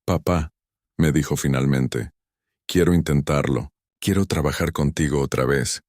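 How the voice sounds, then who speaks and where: A man voicing a very deep, hesitant grown son. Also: unhurried, darkish tone, dry recording, clean studio sound. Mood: earnest. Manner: hesitant, pleading. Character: grown son, defensive young company director.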